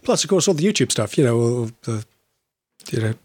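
A man's voice: medium pitch, very animated, a little fast, darkish tone, husky, no echo. A man co-hosting a podcast in friendly casual chat, hesitant with lots of uh sounds and restarts, on a video call. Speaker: podcast co-host.

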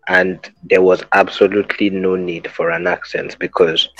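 Deep-voiced Nigerian man, dull dry sound. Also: moderate pace, dry recording. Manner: relaxed storytelling, jokey. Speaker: podcast co-host. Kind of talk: casual podcast chat.

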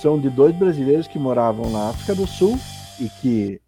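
A Brazilian man co-hosting a podcast, chatting in an animated way and breaking into loud exclamations. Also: medium pitch, a little fast, soft background music. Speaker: podcast co-host. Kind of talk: casual podcast banter.

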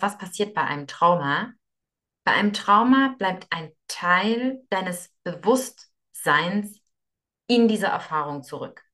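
A woman's voice: mid-range voice, a bit bright. A young woman from Russia, a guest in a podcast interview, explaining her ideas earnestly, often restarting and repeating words. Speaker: yoga teacher and guest.

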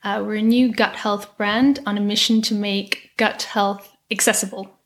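A woman's voice: medium pitch, little pitch movement, normal speed. A woman from Scotland with a muddled, mixed accent, a brand founder talking in a friendly way as a podcast guest. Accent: muddled Scottish-English-American. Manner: friendly, laughs easily. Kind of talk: podcast interview.

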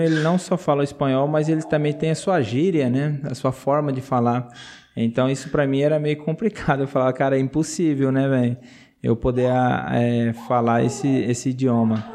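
Missionary man, mellow and earnest, laughs easily, repeats himself. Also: medium pitch, a little hiss or hum. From Brazil. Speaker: missionary guest. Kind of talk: casual interview testimony.

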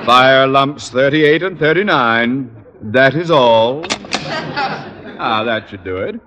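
A noisy, echoing vintage radio recording carries a self-important male high school principal. He is pompous and formal, blustering through officious pronouncements in a comedy style. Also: animated, slow. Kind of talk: old-time radio situation comedy acting. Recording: noisy old radio recording, reverberant hall.

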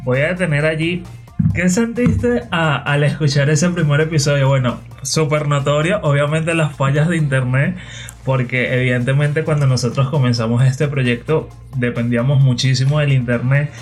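Male podcast host: slightly high voice, reflective and conversational. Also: fairly even intonation, moderate pace, faint music behind. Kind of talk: casual podcast chat.

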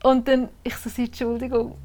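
A woman's voice: on the high side, little pitch movement, normal speed, mellow. Swiss German woman explaining procedures. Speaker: civil registrar. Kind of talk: casual interview answers. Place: Switzerland.

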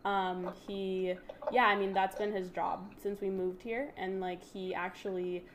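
A woman's voice: mid-range voice, little pitch movement, unhurried, background noise. A woman hosting a podcast, talking in an earnest, chatty way in a casual interview. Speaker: podcast host.

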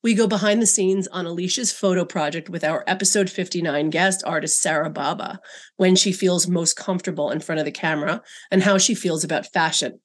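A woman's voice: lowish voice, a little fast. A middle-aged woman hosting a podcast, reading a polished scripted introduction and then asking interview questions. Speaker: podcast host and magazine editor.

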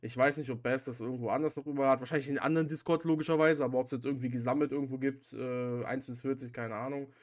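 A man's voice: mid-range voice, steady tone, fast talker, few pauses. A man hosting a podcast, chatting casually and informally. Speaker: podcast host.

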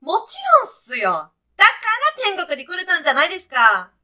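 A woman plays a brash, breezy heavenly guide in a comedy radio play. The voice is high and bright, with very lively swings in pitch. The talk is punchy and clipped, full of casual jock-style slang. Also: a little fast, exaggerated voice. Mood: upbeat, brash. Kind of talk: comic radio sketch acting.

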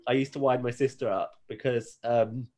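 A man's voice: mid-range voice, steady tone, moderate pace, darkish tone. A British man chatting casually as a podcast co-host. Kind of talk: casual chat.

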